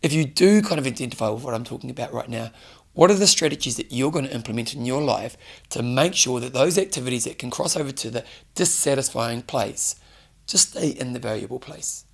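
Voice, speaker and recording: A man giving an earnest solo coaching talk, hoarse from losing his voice. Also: medium pitch, expressive, fairly quick. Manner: earnest, coaching, direct questions to listener. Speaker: fitness show host. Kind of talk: solo motivational talk to camera.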